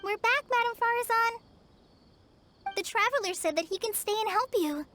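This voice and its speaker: A woman's voice: extremely high pitch, measured pace, sharp tone, a little hiss or hum, full character voice. A woman voicing an earnest, timid young student girl in a video game, thinking aloud in a hesitant, sincere way. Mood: cheerful. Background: music.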